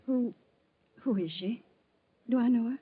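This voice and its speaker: An actress playing a devoted, anxious sweetheart in a 1940s radio drama, hesitant and emotional in delivery. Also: female voice, on the high side, a little slow, breathy edge, phone-quality sound. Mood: worried, subdued. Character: devoted, anxious sweetheart, easily amazed woman. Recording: scratchy, phone-thin 1940s radio recording.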